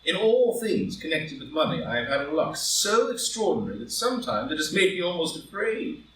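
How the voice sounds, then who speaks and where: A man acts out a proud gentleman of wealth and ambition in a live play reading. His fairly high voice moves animatedly through grand, impassioned phrases. He sounds set back from the microphone. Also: sing-song, fairly quick, a little hiss or hum. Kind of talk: live group play reading, stage drama. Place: indoor room, live in-person group reading. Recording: roomy live recording, distant from mic.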